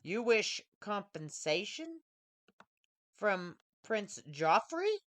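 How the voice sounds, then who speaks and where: A woman's voice: mid-range voice, sing-song, drawn-out words, fairly bright tone, heavily acted. Woman voicing a stiffly formal royal footman. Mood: puzzled.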